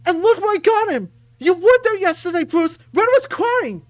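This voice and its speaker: Woman acting a furious, shouting young male fighter. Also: extremely high pitch, very animated, moderate pace, no echo, pitched above the performer's own voice. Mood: furious, defiant. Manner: shouting, swearing, confrontational.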